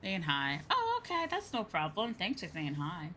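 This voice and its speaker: A young woman chatting casually on a game livestream, rambling, expressive and informal, swearing freely. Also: on the low side, normal speed, slight background noise. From outside Japan. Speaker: VTuber game streamer. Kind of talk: casual livestream chat over a video game. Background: game music.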